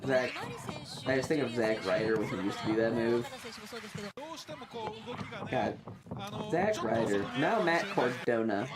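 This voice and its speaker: A man's voice: mid-range voice, lively intonation, measured pace, long pauses, crowd noise. A man chatting casually on a livestream, rambling and swearing with enthusiasm as he reacts and gives his takes.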